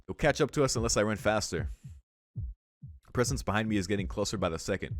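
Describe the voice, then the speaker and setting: Low-voiced man reading aloud, dry sound. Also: expressive, fairly quick, dry recording. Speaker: let's play host. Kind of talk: reading visual novel narration aloud with casual asides.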